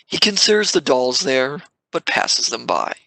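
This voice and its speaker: Gravelly, bright male narrator. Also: mid-range voice, lively intonation, unhurried, dry recording, rough recording. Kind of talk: scripted narration.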